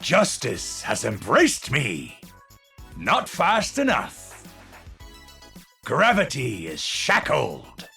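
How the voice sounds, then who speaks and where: An actor playing an eccentric older physicist in a video game, gentle and brilliant yet unhinged, speaking formally and precisely with a breathy, husky voice. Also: male voice, medium pitch, full character voice. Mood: triumphant. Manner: precise, formal, musing, erratic swings into fervour. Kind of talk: video game character voice lines.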